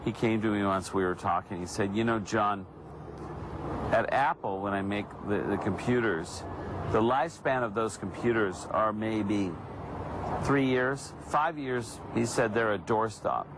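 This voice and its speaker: Slow, low-voiced American man, noisy clip. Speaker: film director. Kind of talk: spoken anecdote.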